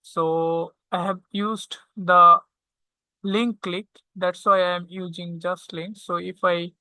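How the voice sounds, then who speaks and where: A tutorial instructor walking through software step by step, often checking in with a short questioning tag. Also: mid-range voice, little pitch movement, slow, smooth, no room sound, low-quality recording. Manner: checks understanding with frequent "okay?".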